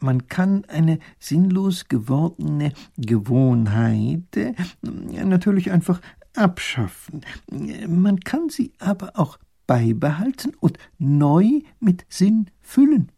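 Man doing a thoughtful old professor, slow and hesitant, bit higher voice. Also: sing-song, vocal fry, darkish tone, husky, exaggerated voice. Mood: pondering. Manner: slow, pondering delivery with hesitations. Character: clever, impatient old male professor-minister.

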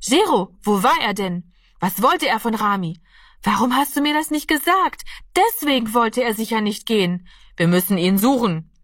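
A woman's voice: mid-range voice, a little fast, husky, no echo, studio quality, far from the mic, lightly acted. A woman voicing a worried mother who questions her family urgently in an audiobook. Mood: alarmed. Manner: anxious, questioning. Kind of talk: audiobook character voice.

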